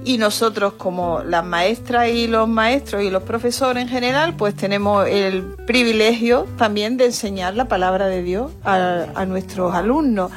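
A woman's voice: medium pitch, normal speed, hardly any pauses, faint music behind. A woman, a religion teacher, explaining things earnestly and steadily on a panel talk show.

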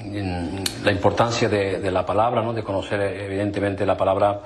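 A man with a moderately low voice hosts a religious conversation. He speaks in a hesitant, affirming way, breaking up his thoughts with fillers and short tag questions. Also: flat delivery, brisk. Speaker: interviewer, host.

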